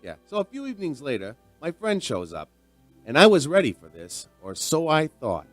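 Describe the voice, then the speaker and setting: A man's voice: on the high side, wildly swooping pitch, moderate pace, a bit bright, full character voice. A man playing a chatty veteran barman in a radio drama, telling an uneasy mystery in a folksy, rambling way. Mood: friendly. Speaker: narrator and lead character. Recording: music behind the voice.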